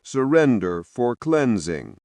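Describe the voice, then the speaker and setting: A man voicing a cold, inhuman male official who pronounces a sentence in a flat, merciless manner. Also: mid-range voice, slow, dry recording. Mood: cold command.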